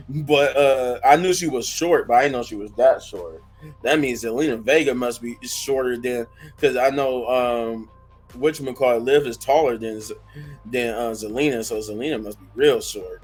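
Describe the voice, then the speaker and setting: A hoarse man giving a casual, rambling wrestling review, full of fillers and restarts. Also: medium pitch, normal speed, darkish tone, soft background music.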